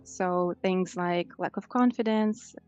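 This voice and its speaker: A woman's voice: fairly low pitch, slow pace, a little mellow, clean tone, soft background music. A woman in her thirties with a Russian accent, answering conversationally as a life coach in an interview. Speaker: life coach, podcast guest.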